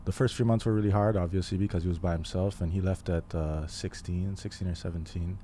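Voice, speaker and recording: Haitian-born guest in his 20s, deep mellow voice, measured and halting, close studio mic with faint room hiss. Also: fairly even intonation. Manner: thoughtful, pauses often with fillers. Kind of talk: casual interview. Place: living room podcast set. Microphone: studio mic on boom arm, headphones.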